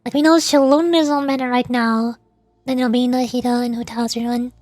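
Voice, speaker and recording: A woman's voice: on the high side, fairly even intonation, brisk, darkish tone, no room sound. A woman streaming a game, chatting casually and rambling to her viewers in live commentary.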